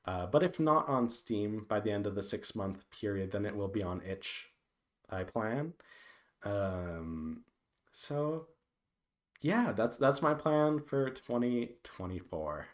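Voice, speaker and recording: A man giving a casual, unscripted update to viewers, thinking aloud in a hesitant, meandering way with halting pauses. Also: lowish voice, animated. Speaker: visual novel developer giving a devlog update.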